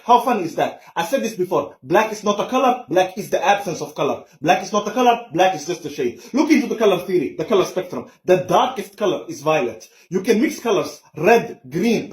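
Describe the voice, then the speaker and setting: A man's voice: high-pitched, lively intonation, a little fast, hardly any pauses, roomy sound. A man delivering an insistent, lecturing opinion monologue to camera.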